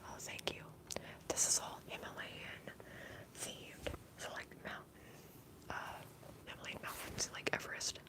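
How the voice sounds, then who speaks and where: Breathy, close-up whispering ASMR woman. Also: measured pace, pauses often, poor audio. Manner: soft, repetitive trigger words. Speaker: ASMR live streamer. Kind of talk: ASMR whispering, chatting with live viewers. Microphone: close-up microphone.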